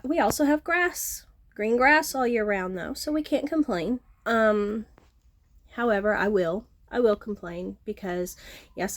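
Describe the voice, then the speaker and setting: A middle-aged woman with a nasal, sniffly voice, chatting casually on her home vlog and filling pauses with ums. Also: medium pitch. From Texas Gulf Coast. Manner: frequent ums, self-deprecating, chatty. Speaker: vlogger, horticulturist. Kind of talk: casual talking-to-camera vlog. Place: outdoors at home, front porch.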